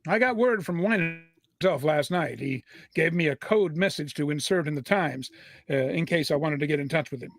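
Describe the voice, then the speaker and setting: A man's voice: on the high side, normal speed, vocal fry, fairly bright tone, no echo. A man playing a courteous, anxious lawyer with a hesitant, imploring manner in a vintage radio mystery. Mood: hopeful, pleading. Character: earnest, anxious, courteous male lawyer pleading for help.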